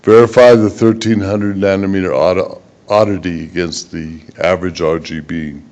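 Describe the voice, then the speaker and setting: A man presenting research at a conference in a halting, plain-spoken way. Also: low voice, a little mellow. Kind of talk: conference presentation, then answering questions.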